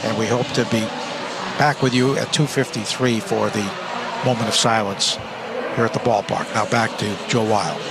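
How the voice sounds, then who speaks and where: A man, a play-by-play radio broadcaster, wrapping up a segment in a steady, formal delivery from the ballpark booth. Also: on the low side, moderate pace, vocal fry. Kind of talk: live broadcast wrap-up. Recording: booth with loud ballpark noise.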